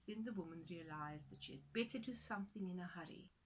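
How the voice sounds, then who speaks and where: A woman's voice: lowish voice, fast, a little hiss or hum. A woman storyteller narrating a folktale for children, dramatic and playful in her delivery.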